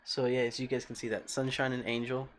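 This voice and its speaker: Male game streamer, calm voice, middle pitch, rambling and chatty with viewers, faint typing behind. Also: fast, slight background noise. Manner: rambling, thinking aloud and answering chat. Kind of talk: casual livestream chat while playing.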